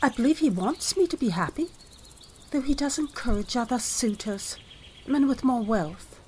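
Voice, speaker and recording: An actress playing a spirited young woman in love in a period radio drama, lively and playful. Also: a little high, moderate pace, a little hiss or hum, natural voice. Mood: tender. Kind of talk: old-time radio drama acting.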